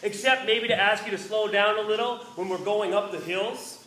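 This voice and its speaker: A man's voice: high voice, steady tone, moderate pace, sharp tone. A man voicing an earnest, anxious, curious young companion in a story, hesitant and stammering but persistent. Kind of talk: story dialogue read aloud. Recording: roomy, distant microphone with faint noise.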